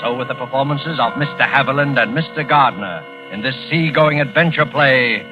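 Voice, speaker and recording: An actor playing a suave, ominous mystery host, introducing a 1940s radio suspense play formally and deliberately. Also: male voice, mid-range voice, music in the background, natural voice. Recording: dull 1940s radio recording.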